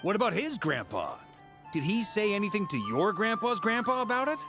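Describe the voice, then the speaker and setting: An easygoing young game adventurer, witty and thoughtful. The voice sits fairly high and swings widely in pitch, casual and playful, over faint music. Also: male voice, big pitch swings, brisk. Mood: easygoing, curious. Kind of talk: video game character acting.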